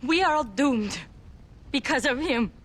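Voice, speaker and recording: A woman's voice: high-pitched, animated, unhurried, fairly bright tone. An actress playing a fearful young village woman with a Mexican accent in a video game, dramatic and ominous. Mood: despairing, accusing. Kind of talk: video game cutscene acting. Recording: faint background noise and ambience.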